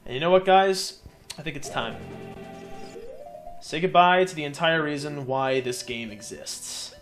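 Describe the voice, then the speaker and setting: A man giving casual, joking video game commentary, animated and a little profane. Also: fairly high pitch, unhurried, faint music behind. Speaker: let's player and commentator.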